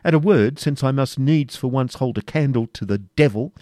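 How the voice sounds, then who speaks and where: A man's voice: mid-range voice, normal speed, warm tone, no echo, clean studio sound, lightly acted. A man voicing a pompous, indignant medieval prior who blusters scornfully and stammers when outraged. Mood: aggrieved.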